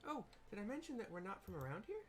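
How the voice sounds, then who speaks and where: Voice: medium pitch, sing-song, fast, a little hiss or hum, pitched above the performer's own voice, close to an everyday voice. A man voicing an upbeat, chatty young woman adventurer who is easily miffed in a fantasy game. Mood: cheerful, chatty. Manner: chatty, exclaiming.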